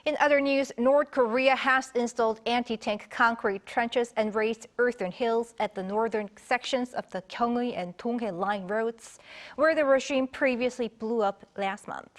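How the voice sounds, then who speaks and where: High-pitched woman news anchor. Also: normal speed. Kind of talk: scripted news reading.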